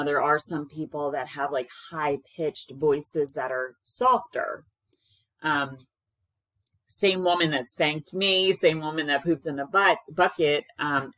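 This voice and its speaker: A woman in her thirties with a strikingly deep voice that carries, speaking loudly and frankly as a caregiver offering advice. She talks to a webcam in a small room. The sound is dry, with no room echo at all. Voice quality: low-pitched voice that projects. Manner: loud, frank and conversational. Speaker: caregiver sharing experiences. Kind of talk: casual advice talk to camera. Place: small indoor room with plain wall.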